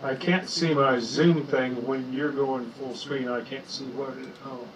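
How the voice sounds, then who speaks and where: A man with a loud voice chatting casually on a video call through a headset mic. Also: medium pitch, steady tone, a little slow, distant mic, a little hiss or hum, rough recording. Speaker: caller on a video chat. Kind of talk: casual chat.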